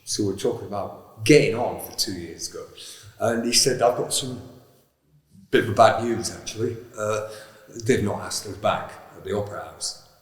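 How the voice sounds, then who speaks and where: Low-voiced man in a roomy echo. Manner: halting, many uhs and restarts. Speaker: former panto cast member. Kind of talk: reflective storytelling. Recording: echoey room with long reverb.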